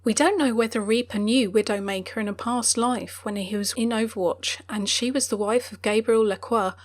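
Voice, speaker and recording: A woman's voice: fairly high pitch, moderate pace. A woman reading scripted explainer narration as the presenter of a game lore video.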